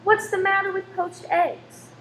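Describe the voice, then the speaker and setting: Woman as kindly big sister, high-pitched, sensible, gently teasing, radio play, roomy echo. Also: steady tone, measured pace, heavily acted. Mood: lightly teasing. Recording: echoey room with faint noise.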